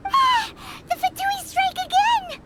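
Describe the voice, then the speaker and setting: A woman's voice: very high voice, slow, very noisy. A woman voicing a small, cheerful, food-loving fairy companion with a bubbly manner in a video game, with music behind her. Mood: feisty. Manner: bubbly, speaks of self in third person. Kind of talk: video game character acting.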